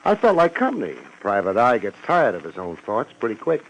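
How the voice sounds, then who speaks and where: Wry radio private eye, lively intonation. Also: male voice, mid-range voice, fairly quick. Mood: bantering. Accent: American. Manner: wisecracking, deadpan. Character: wry, world-weary private detective, cool under threat. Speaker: detective hero and narrator. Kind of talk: 1950s radio detective drama acting. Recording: noisy vintage 1950s radio recording.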